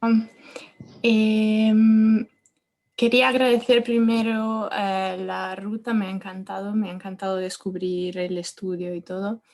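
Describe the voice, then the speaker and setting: A young Italian woman, not a native speaker, asks a question at an online talk in an Italian accent. She is polite and a little hesitant as she works through her words. Her voice comes over a video call at a middle pitch. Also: level tone, a little slow, darkish tone. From Italy. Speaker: audience member asking a question.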